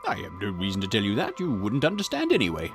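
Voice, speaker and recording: A man's voice: on the low side, wildly swooping pitch, fast, gravelly, music in the background, full character voice. A man voicing a conceited, irritable historian and occult researcher in a visual novel, pompous, snappish and condescending. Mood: indignant, snappish. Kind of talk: acted visual novel dialogue.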